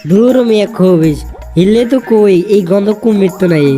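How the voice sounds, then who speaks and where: A woman voicing a brash young male bachelor, teasing and rough-tongued. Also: mid-range voice, normal speed, close to an everyday voice. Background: cartoon background music.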